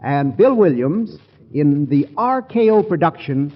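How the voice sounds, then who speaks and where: A male radio host from the 1940s with a husky voice and lively intonation, reading a genial sign-off. The old broadcast sounds muffled. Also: on the high side, a little slow. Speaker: program host.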